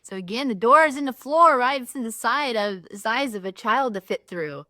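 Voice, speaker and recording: A woman's voice: fairly high pitch, animated, moderate pace, sharp tone, no echo. Encouraging woman, spiritual co-host. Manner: encouraging, affirming. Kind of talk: spiritual talk.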